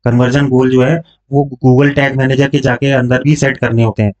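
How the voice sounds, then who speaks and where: A man's voice: mid-range voice, level tone, normal speed, darkish tone. A man teaching informally step by step, explaining as he goes and mixing in English terms with frequent check-in tags. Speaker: online tutorial host, digital marketing coach. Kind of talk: informal step-by-step screen tutorial. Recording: dull lo-fi microphone sound.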